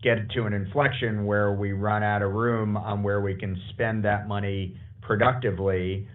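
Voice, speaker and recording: A man answering as a company executive on an earnings call, deliberate and a little hesitant. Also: lowish voice, flat delivery. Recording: thin phone-like conference call line.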